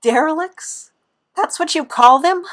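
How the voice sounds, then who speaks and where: A woman's voice: high voice, a little slow, a bit bright. Woman playing an earnest, plaintive idealist. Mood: distressed. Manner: plaintive, questioning. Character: earnest, sentimental idealist troubled by injustice. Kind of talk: audio drama acting.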